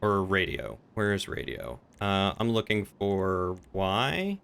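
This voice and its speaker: Male streamer, deeper register, clear tone. Casual, self-directed game chatter. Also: big pitch swings, takes it slowly, a bit bright.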